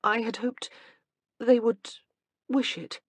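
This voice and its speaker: A woman's voice: mid-range voice, moderate pace, no room sound, pitched above the performer's own voice, close to an everyday voice. A woman voicing an earnest, dignified young woman teacher in an audiobook. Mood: anxious.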